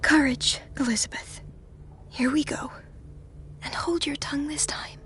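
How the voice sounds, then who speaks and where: A woman's voice: high voice, steady tone, measured pace, in a whisper. An actress voicing a harried, put-upon young woman working at a hospital, in scripted game dialogue. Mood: fed up, anxious. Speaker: voice actor in a video game. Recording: music behind the voice. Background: background music.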